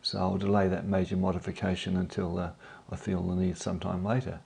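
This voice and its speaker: A man with a cold and a croaky, husky voice, casually narrating his drone hobby video with frequent ums and uhs. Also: low-pitched, brisk. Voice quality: gravelly, husky. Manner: relaxed, hesitant, many ums and uhs.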